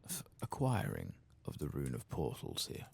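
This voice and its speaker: A man giving soft-spoken, often whispered ASMR game commentary in a gravelly, musing mutter. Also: extremely low pitch, wildly swooping pitch, normal speed. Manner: musing, muttering understated reactions. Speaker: ASMR streamer playing a video game.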